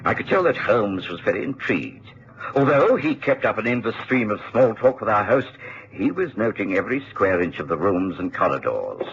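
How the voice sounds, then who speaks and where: Casting: genial gentleman sidekick, animated delivery, muffled radio-drama sound. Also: fairly low pitch, normal speed. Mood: observant. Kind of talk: radio drama acting and narration. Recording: dull, noisy radio recording.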